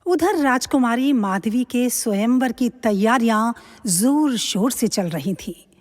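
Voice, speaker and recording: A woman narrates an audio drama in scripted storytelling style. Her voice is fairly high and moves expressively at a slow pace, with a long hall reverb. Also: animated, darkish tone.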